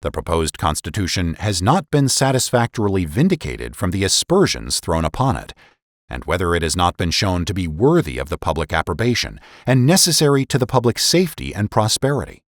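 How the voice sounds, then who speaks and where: A man narrating a formal historical essay as a dramatic reading, with deliberate, oratorical phrasing and emphatic delivery. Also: sits low, very animated, dry recording, clean studio sound. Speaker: podcast host and narrator.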